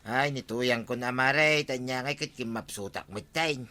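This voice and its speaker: An actor playing a timid, plaintive henpecked husband in an Ilocano radio comedy drama, with an Ilocano accent. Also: male voice, mid-range voice, unhurried, pushed and bright, no echo. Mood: complaining. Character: put-upon henpecked husband, timid and complaining.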